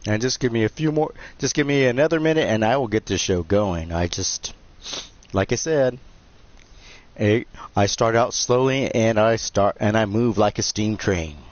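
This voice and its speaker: A man's voice: on the low side, moderate pace, no echo. A man hosting a live game stream, chatting casually in a nasal, joking, self-deprecating way. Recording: dull lo-fi stream mic with faint hiss.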